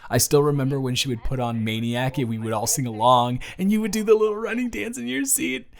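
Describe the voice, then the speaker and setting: A man's voice: medium pitch, animated, quick pace, creaky. A young man playing a teasing, affectionate best friend of someone's brother in a casual, slangy romantic roleplay audio drama. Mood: fond teasing.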